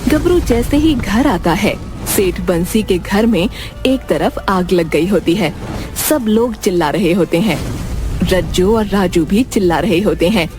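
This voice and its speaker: A woman's voice: mid-range voice, lively intonation, measured pace. A woman narrating a scripted children's story as the storyteller. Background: background music.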